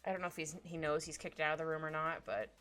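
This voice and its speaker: A woman's voice: low-pitched, flat delivery, fast, fairly bright tone. A young woman with a slightly stuffy nose, chatting casually on a livestream while she draws, talking to her pet and reading chat aloud. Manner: chatty, giggly, talks to her cat and reads chat aloud. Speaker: streamer drawing live. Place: small room at home. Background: faint background music.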